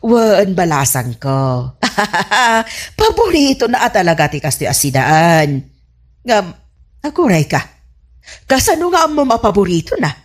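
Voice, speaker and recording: A woman playing a prying, suspicious old mother in an Ilocano comedy radio drama, animated and probing, with an Ilocano Filipino accent. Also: mid-range voice, slow. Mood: pleased, musing.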